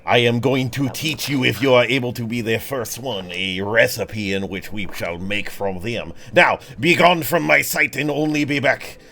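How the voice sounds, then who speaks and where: A man voicing a pompous, flamboyant chef with a mock French accent and grand, drawn-out declarations in a tabletop game. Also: mid-range voice, creaky, pushed and bright. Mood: imperious, commanding. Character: pompous, flamboyant male chef, wildly dramatic and commanding.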